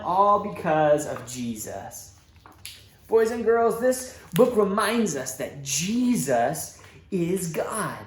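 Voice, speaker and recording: Very animated man reading a children's story. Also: fairly high pitch. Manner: expressive, dramatic emphasis with asides to young listeners. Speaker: church children's storybook reader. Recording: roomy echo, faint background noise.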